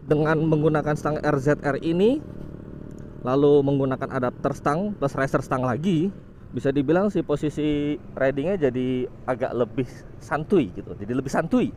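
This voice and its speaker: A man's voice: fairly high pitch, normal speed, a little hiss or hum. Chatty young man riding a motorcycle. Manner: chatty, relaxed, informal with frequent "guys". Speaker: motovlog host. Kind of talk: casual motovlog commentary while riding. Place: on a motorcycle on a city road outdoors.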